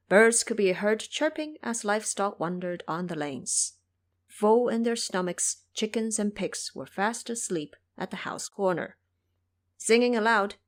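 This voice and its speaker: A woman narrating a scripted story as a storyteller. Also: medium pitch.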